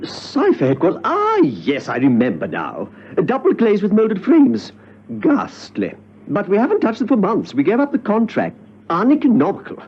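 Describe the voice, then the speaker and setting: Fussy British gentleman, wildly swooping voice. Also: sits high, huge pitch swings, breathy edge, full character voice. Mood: fussy disdain. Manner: prissy, drawn-out, disdainful. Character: fussy, condescending gentleman window-cleaning instructor. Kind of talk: 1960s-style British radio drama acting. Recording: dull lo-fi radio recording, faint noise.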